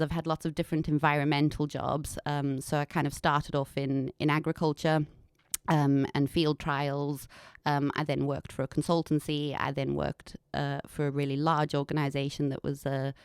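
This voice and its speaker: A woman's voice: low-pitched, clear, resonant tone, no room sound, clean studio sound. A woman in her thirties with an anglicized South African accent, telling her story candidly as an interview guest. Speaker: podcast guest, environmental consultant and business owner. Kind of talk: conversational interview, personal story.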